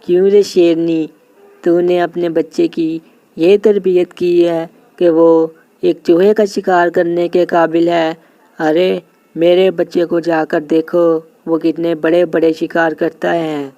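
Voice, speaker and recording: A woman voicing a boastful, scornful male lion in an animated animal story, taunting as he talks. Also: fairly low pitch, slow, no echo, lo-fi sound. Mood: mocking.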